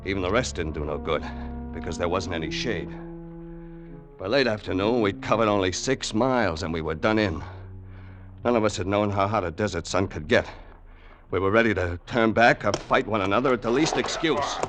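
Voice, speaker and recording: Low-voiced hard-boiled crook played by an actor. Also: male voice, normal speed, a bit bright, lightly acted. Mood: weary, tense narrating. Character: tough wisecracking American crook, loyal henchman and narrator. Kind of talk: 1940s-50s radio acting, first-person narration. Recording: vintage radio drama recording with music.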